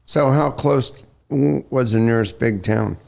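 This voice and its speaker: A man's voice: medium pitch, measured pace, creaky, a little mellow. A man hosting a podcast, asking short, curious questions in a casual interview. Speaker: podcast host.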